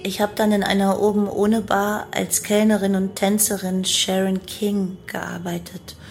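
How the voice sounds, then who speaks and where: A woman's voice: medium pitch, flat delivery, unhurried, creaky, darkish tone, slight background noise. A woman playing a young female cult follower who recounts her life and crimes matter-of-factly in a German radio play.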